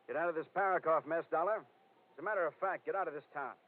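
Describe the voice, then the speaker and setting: Threatening fast-talking cop played by a man. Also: a little high, lightly acted. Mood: menacing. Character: gruff, threatening male small-town police officer. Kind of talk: 1940s radio acting. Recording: tinny, noisy old radio recording.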